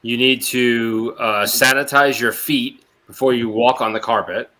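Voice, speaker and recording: Male panelist, unhurried slow pace, bright sharp tone, casual. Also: mid-range voice, steady tone. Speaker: podcast panelist. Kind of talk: casual chat.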